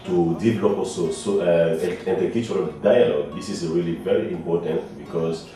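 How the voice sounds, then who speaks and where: Deep-voiced young man, halting speech. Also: fairly even intonation, warm tone, soft background music. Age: young adult. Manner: hesitant, many fillers and restarts. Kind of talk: speaking to camera. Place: indoor room, plain wall. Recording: echoey room, mic at a distance.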